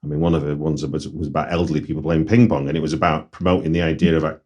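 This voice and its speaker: Low-voiced manchester bloke hosting a podcast, rambly, lots of you knows. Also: male voice, flat delivery, very fast, darkish tone. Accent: Mancunian. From Manchester, England. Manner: rambling, frequent fillers and restarts. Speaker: podcast host. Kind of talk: casual conversational interview.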